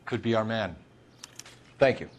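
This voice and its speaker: An actor playing a stern, clipped police detective giving orders in a video game crime drama. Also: male voice, fairly low pitch, a little slow, a little hiss or hum, natural voice. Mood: businesslike.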